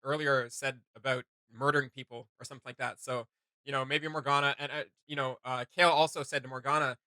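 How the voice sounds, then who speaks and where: A man's voice: mid-range voice, flat delivery, fast talker, pushed and bright, clean tone, dry recording. A man hosting a reaction video in casual chat, thinking aloud in a hesitant way.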